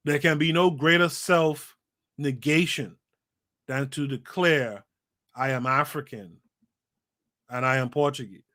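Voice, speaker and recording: A man's voice: medium pitch, slow, pauses often, bright, forceful tone. A man hosting a podcast livestream, reading a book aloud and breaking off to comment, with an emphatic delivery that repeats phrases for effect and speaks to his live chat. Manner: talks to a live chat audience. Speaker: podcast host.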